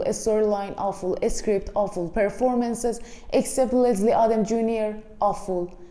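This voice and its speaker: A woman's voice: medium pitch, mellow. A woman from Iran with an Iranian accent, giving a frank, blunt movie review in casual speech and speaking to viewers as friends. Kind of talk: casual spoken film review. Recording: echoey room.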